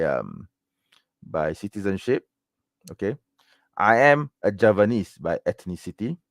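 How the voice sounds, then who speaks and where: Malaysian guy doing a livestream q&a, deep voice, lots of um and okay? right?, dry mic. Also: dry recording. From Malaysia, raised in Penang. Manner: rambling, many fillers and tag questions like "okay?" and "right?". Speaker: livestream Q&A host. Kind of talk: casual livestream chat answering viewer questions.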